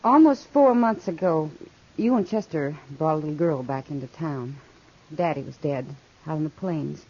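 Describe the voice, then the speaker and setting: A woman's voice: deep voice, normal speed, darkish tone. A woman playing a worldly, concerned frontier woman with an American accent, earnest and confiding, in 1950s radio western style. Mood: troubled. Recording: dull vintage radio recording.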